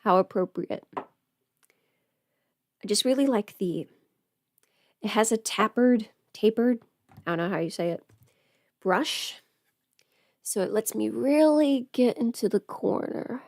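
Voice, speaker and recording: A woman's voice: on the high side, animated, takes it slowly, pauses often, a little mellow, no room sound, clean studio sound. A woman chatting casually on a livestream while busy with her makeup, rambling and trailing off as if half talking to herself. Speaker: livestream host.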